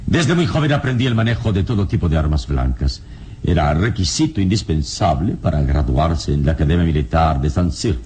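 An actor playing a serene, wise adventurer hero in a radio drama, speaking in a measured, courteous and confident way. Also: male voice, low-pitched, sing-song, darkish tone, slightly rough, exaggerated voice. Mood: composed, assured.

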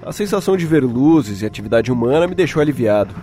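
A man's voice: mid-range voice, animated, fast, mellow, soft background music, natural voice. A Brazilian man playing a frightened young captive who gives an anxious, colloquial first-person testimony in a horror audio drama. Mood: fearful. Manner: anxious, colloquial confessional telling.

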